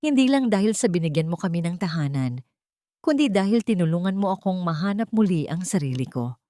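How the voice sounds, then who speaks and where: A woman's voice: lowish voice, expressive, brisk, dark tone, no room sound, clean studio sound, close to an everyday voice. A woman with a Filipino accent voicing a grateful, tender mother in an audiobook drama. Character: heartfelt, grateful mother who found her dignity. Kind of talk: audiobook character dialogue.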